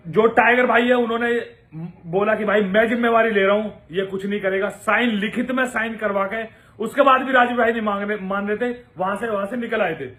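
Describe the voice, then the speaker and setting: High, sharp-voiced man making indignant commentary. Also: fairly even intonation, brisk. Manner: accusatory, indignant, crude slang. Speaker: commentator on an online feud. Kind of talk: informal spoken commentary addressed to viewers.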